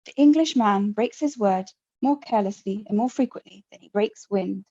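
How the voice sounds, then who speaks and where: A defiant male rebel orator rallies a crowd with a fiery, rousing speech, as a woman reads his lines aloud. The tone is mellow and warm. The audio is dull and lo-fi. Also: medium pitch, normal speed, natural voice.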